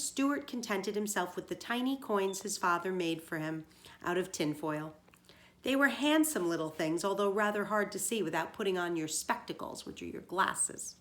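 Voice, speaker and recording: Lady teacher reading a kids book out loud, normal pitch, explains words simply for the class. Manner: explains words plainly for children. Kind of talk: storybook read-aloud with simple asides.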